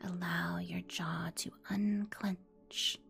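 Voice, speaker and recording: A woman leads a guided meditation in a hushed, breathy ASMR whisper. Her delivery is extremely slow and soothing, with long pauses between instructions. The voice sits fairly low, and faint music plays behind it. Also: steady tone.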